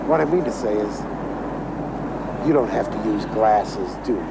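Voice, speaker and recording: A man's voice: lowish voice, lively intonation, normal speed, vocal fry. An actor playing an over-eager middle-aged man, awkward and ingratiating, in a television drama. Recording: loud outdoor boat noise.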